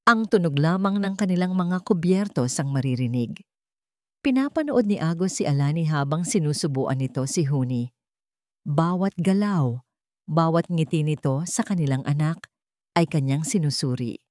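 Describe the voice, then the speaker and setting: Low-pitched Filipino woman narrating a dramatic story. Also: animated, moderate pace, no room sound, clean studio sound.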